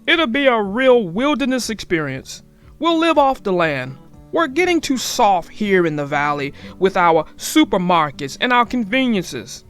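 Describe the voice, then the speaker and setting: A woman's voice: mid-range voice, sing-song, unhurried, faint music behind. A woman voicing a boastful, enthusiastic father bear from a storybook in a grand, hearty, confident manner. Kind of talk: storybook character voice.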